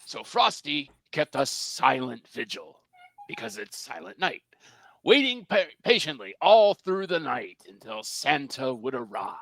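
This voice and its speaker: A man's voice: a little high, sing-song, unhurried, gravelly, fairly bright tone, no echo. A man narrating a cartoon table read in a theatrical, folksy storybook style with emphatic phrasing.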